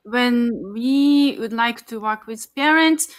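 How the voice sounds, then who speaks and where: A woman moderating a webinar panel, measured as she sums up points and passes questions on. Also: on the high side. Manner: measured, summarizing and handing over questions.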